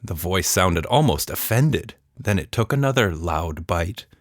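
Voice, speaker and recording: A man's voice: deep voice, lively intonation, measured pace, no room sound, studio quality. A man narrating a scripted children's story as a storyteller.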